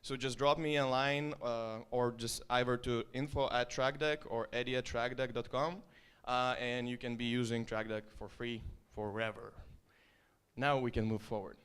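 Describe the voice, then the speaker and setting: A man with an Estonian accent presenting his startup at an event. His voice sits at a middling pitch and comes across smooth and clear. He speaks loosely and jokes with the crowd, filling pauses with plenty of uhs. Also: steady tone, a bit bright. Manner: loose, joking, casual with filler ums and uhs. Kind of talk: conference stage talk.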